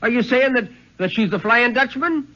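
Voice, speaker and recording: A man voicing an excitable, alarmed lighthouse keeper who exclaims in agitated disbelief in an old radio story. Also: very high-pitched, moderate pace, pitched above the performer's own voice. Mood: alarmed, incredulous. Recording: dull vintage 1950s radio recording.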